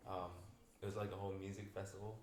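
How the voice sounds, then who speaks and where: A young male podcast host with a low, deep voice talks in a laid-back, rambling way. His delivery stays flat, with little rise and fall. The room sounds echoey, with faint noise behind him. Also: quick pace. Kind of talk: casual podcast chat.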